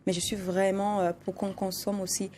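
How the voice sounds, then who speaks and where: A woman from Cameroon speaks with passion and insistence as a guest on a studio talk-show panel. She laughs easily and pushes her point again and again. Her voice sits at an average pitch over a faint hiss of background noise. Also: little pitch movement, moderate pace, dark tone. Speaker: guest singer. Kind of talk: lively panel debate.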